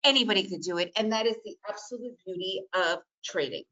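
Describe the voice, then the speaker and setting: A middle-aged woman from the former Soviet Union, a native Russian speaker, giving an energetic, emphatic webinar talk on trading. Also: mid-range voice, quick pace, highs cut off. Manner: energetic, emphatic, repetitive phrasing. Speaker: trading educator. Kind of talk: webinar presentation.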